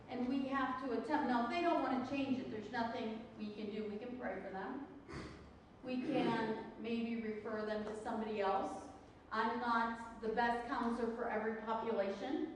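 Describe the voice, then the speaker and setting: Echoey, high-pitched woman teaching a class. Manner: didactic, emphatic, frequent rhetorical questions and check-ins. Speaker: counseling course instructor. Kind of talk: classroom lecture with questions to students. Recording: very echoey hall, distant mic, faint noise.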